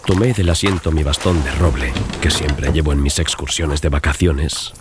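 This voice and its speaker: A man narrating a gothic story as a scripted, dramatized audiobook, in a measured, literary storytelling manner. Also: extremely low pitch, heavy background noise. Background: music bed.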